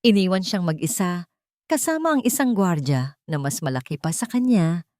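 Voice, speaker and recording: A woman's voice: fairly low pitch, very animated, moderate pace, a little mellow, dry recording. A woman reading dramatic scripted narration for an audio drama.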